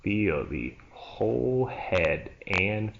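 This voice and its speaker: A man leading a guided yoga nidra relaxation as a teacher, in a hushed, soothing manner with long pauses between short phrases. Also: fairly low pitch, very animated, creaky, noisy background. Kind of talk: slow guided relaxation meditation. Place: church room, live class. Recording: dull, muffled live recording.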